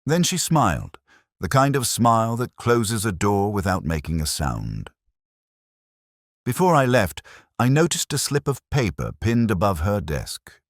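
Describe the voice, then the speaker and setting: A man narrating a scripted first-person detective story in a measured, solemn, literary manner. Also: on the low side, sing-song, no echo, clean studio sound.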